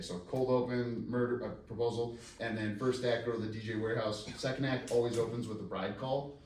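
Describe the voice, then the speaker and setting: A man's voice: medium pitch, darkish tone. A man speaking as a screenwriter, casually answering audience questions in a rambling, self-deprecating, joking way. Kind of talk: casual live question-and-answer at a script reading. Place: live event room before an audience. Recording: echoey room, distant mic, faint noise.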